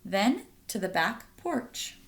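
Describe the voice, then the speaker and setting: Expressive woman reading a picture book aloud. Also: mid-range voice. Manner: pauses to ask listeners questions. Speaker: read-aloud storyteller.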